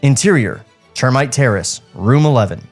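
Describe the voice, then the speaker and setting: A man's voice: medium pitch, animated, normal speed, soft background music. A man hosting a podcast, reading stage directions as comic narration and dropping in wry asides.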